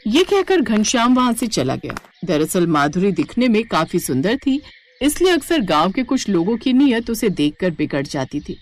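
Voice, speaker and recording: A woman's voice: medium pitch, sing-song, normal speed. A woman narrating a scripted moral story as the storyteller.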